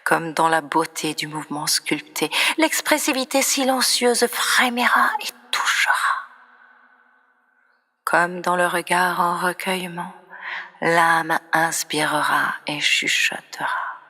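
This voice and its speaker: A woman reciting poetry in a breathy, often near-whispered voice, with solemn, dramatic and deliberate phrasing. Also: on the low side, sharp tone. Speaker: poet reciting own poems. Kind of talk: slow expressive poetry recitation.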